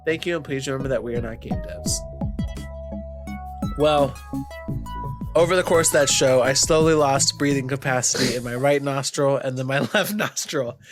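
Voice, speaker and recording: A man's voice: mid-range voice, steady tone, normal speed, long pauses, faint music behind. A man with a nasal voice, co-hosting a podcast about video games in a casual, chatty style. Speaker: podcast host.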